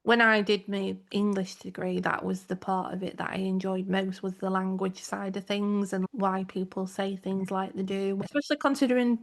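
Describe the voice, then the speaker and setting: A woman's voice: mid-range voice, steady tone, normal speed, hardly any pauses. A woman, co-hosting a casual podcast and telling stories in a reflective way, often with tag questions. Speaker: podcast co-host.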